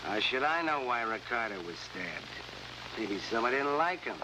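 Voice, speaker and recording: An actor playing a surly, cornered murder suspect in a 1950s crime drama, curt and defensive. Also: male voice, mid-range voice, very animated, normal speed, close to an everyday voice. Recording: noisy, hissy 1950s TV soundtrack.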